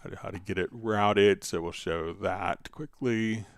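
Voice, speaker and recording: A man with a fairly low, smooth and resonant voice walks viewers through software on a live stream. He talks casually and thinks aloud, pausing hesitantly as he demonstrates each step. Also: fairly even intonation. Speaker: software tutorial host.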